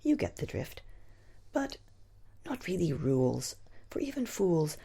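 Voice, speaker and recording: A woman poet reciting her own rhyming verse in a husky, breathy voice, with expressive phrasing that dips into whispery tones. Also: low voice, measured pace.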